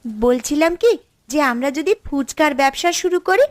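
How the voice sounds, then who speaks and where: A woman's voice: high voice, expressive, normal speed, no echo. A woman playing an agreeable, eager young village sister in a cartoon, deferential to her elder. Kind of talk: Bengali cartoon story acting.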